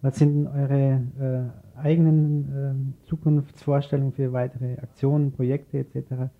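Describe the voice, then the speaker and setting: Gravelly male radio interviewer, muffled sound. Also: medium pitch, flat delivery, moderate pace. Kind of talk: informal local radio interview. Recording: dull, lo-fi radio recording.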